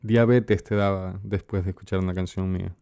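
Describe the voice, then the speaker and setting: A man's voice: deep voice, fairly even intonation, brisk, creaky, mellow, smooth. A man in his thirties from Ecuador hosting a podcast interview, friendly, with short prompts and easy laughter, recorded in a small studio. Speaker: podcast host. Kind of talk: casual interview. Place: dim small studio room, indoors. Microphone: studio microphone close up.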